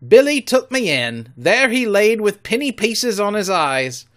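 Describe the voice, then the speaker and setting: A man voicing a superstitious pirate sailor, speaking loudly and uneasily. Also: high-pitched, sing-song, a little slow, voice pushed up, heavily acted. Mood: uneasy.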